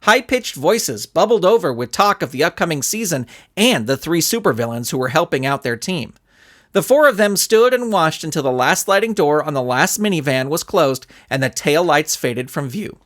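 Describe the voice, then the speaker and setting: Animated male storybook narrator. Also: fairly high pitch, moderate pace. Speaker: narrator and author.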